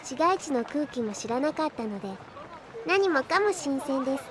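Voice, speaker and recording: A woman playing a gentle, polite young android girl, innocent and soft-spoken, in a Japanese anime audio drama. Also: high voice, animated, normal speed. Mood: gentle wonder. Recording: busy crowd behind the voice.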